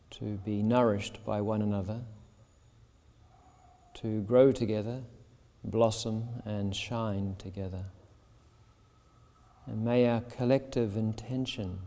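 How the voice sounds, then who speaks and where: Slow, low-voiced man guiding meditation, reverberant hall. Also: warm tone. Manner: soothing, deliberate, short phrases with pauses. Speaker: yoga teacher leading guided meditation. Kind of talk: guided meditation. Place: yoga shala with a seated group. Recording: long reverb of a large hall.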